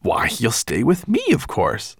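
A man voicing an earnest, gentle young boy in a storybook, sincere and kind. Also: medium pitch, wildly swooping pitch, a little slow, slightly rough, no echo, studio quality, voice pushed up, heavily acted. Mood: concerned, reassuring.

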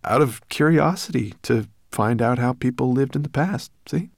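A man's voice: mid-range voice, normal speed, gravelly, no echo, studio quality, pitched above the performer's own voice. A man voicing a friendly, alert human boy in a storybook, casual and matter-of-fact. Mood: explaining.

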